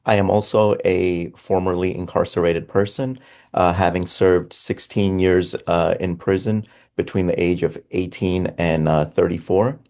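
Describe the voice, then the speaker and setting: This is a middle-aged man from Afghanistan with a deep voice and plenty of vocal fry. He speaks in a measured, formal way on a video panel, pausing often on uh. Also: fairly even intonation, a little mellow. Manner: formal, measured self-introduction with frequent uhs. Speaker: program director, panelist. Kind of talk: panel discussion.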